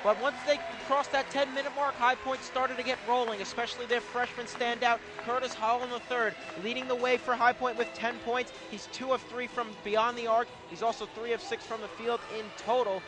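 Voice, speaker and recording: A man's voice: very high voice, flat delivery, fairly quick, hardly any pauses. A man giving live color commentary and analysis on a basketball game for radio, from an arena. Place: indoor college basketball arena.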